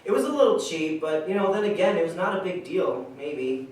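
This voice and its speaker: A man acting as an easygoing guy nearing thirty in a staged monologue. His voice is a little high and conversational, heard from a distance in a very echoey hall. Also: expressive, a little fast, a little mellow, lightly acted. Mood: wry, amused. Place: stage in a reverberant room, recorded from afar. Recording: echoey hall, far mic, faint noise.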